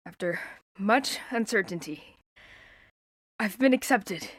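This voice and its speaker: A woman's voice: medium pitch, expressive, slow, dry recording. A woman voicing an earnest young man who speaks in a formal, proud way.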